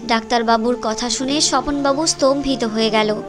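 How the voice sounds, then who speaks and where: A woman's voice: on the high side, steady tone, moderate pace, music in the background. A woman narrator reading a scripted story in a storytelling style.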